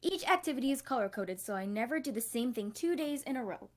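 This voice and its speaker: Cartoon girl cousin voiced by a woman: higher-pitched, snippy, sarcastic, prim planner. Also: animated, quick pace, natural voice.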